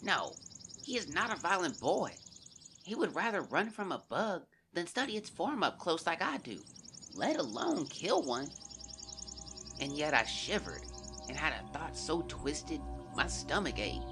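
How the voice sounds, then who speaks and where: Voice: medium pitch, sing-song, unhurried, over music. An actor voicing a young boy who earnestly narrates events into his journal in a scripted audio drama. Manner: earnest, confiding, storytelling.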